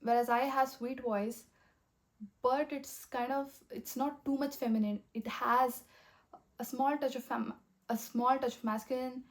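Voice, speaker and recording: A young woman talking in a rambling, earnest way, full of fillers, with a soft voice that has a slight husky edge. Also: high voice, level tone, measured pace. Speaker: vlogger. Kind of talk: casual motivational monologue.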